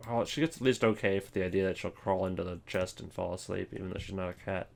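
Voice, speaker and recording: A man's voice: sits low, fairly even intonation, fast, vocal fry. A man hosting a casual ranking video with wry, offhand commentary. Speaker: video host.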